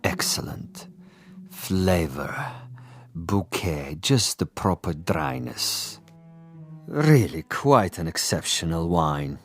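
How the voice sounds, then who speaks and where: An actor playing a suave, boastful assassin commissar in a radio drama, with pompous, precise and silkily polite diction. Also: male voice, sits low, lively intonation, slow pace, faint music behind, exaggerated voice. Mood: smug, menacing. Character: suave, boastful male assassin commissar, coolly menacing connoisseur.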